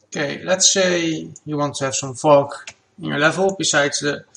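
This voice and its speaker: Gravelly-voiced man explaining software steps. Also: on the high side, normal speed, a little hiss or hum. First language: Dutch. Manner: relaxed, plain step-by-step explaining with fillers. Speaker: game asset creator. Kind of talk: software tutorial walkthrough.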